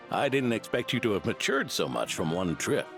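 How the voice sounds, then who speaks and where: Deep, gravelly old knight captain. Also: male voice, big pitch swings, over music. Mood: teasing. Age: older. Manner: avuncular, teasing, unhurried authority. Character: kindly teasing old knight captain, grandfatherly, semi-retired. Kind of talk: video game voice acting.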